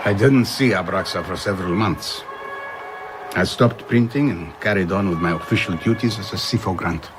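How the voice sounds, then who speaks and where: A man's voice: low voice, normal speed, background noise, lightly acted. An actor playing a haunted, wistful traveller reflectively recounting his past in a radio drama. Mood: wistful, fascinated. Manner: reflective, storytelling.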